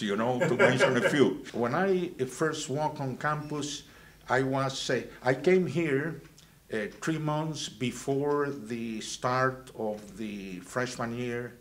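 A man, from Venezuela and raised in Guatemala, recalling his student years in a halting way with many fillers and restarts. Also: medium pitch. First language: Spanish. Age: 70s. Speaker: alumnus interviewee. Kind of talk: reminiscing interview. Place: indoors, plain backdrop.

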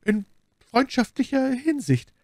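Guy doing a scheming noble lady for an audiobook, high voice, dramatic and gushy, very lively. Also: unhurried, no room sound, clean studio sound, heavily acted. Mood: startled, embarrassed. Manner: effusive, dramatic, insistent. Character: scheming adult noblewoman, false, intense, gushing.

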